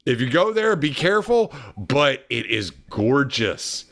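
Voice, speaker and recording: A man's voice: medium pitch, big pitch swings, a little slow, a bit bright. A man hosting a loose group conversation over a video call, laughing often, swearing, and saying "y'all". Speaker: podcast host. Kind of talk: casual group chat.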